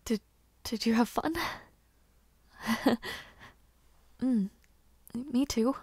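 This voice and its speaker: Woman acting a slow, hesitant young noblewoman knight. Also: on the high side, husky, heavily acted. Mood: shy, fond. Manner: soft, hesitant, halting speech. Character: young noblewoman and aspiring knight, gentle, shy, protective. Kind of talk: intimate audio roleplay acting.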